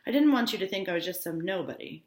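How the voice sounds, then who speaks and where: A woman's voice: on the low side, lively intonation, fast, natural voice. A genteel, wealthy young man, as a woman reader puts him on from a classic novel. He speaks formally and confidingly, with easy self-assurance. The room is audibly echoey. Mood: confiding, self-assured.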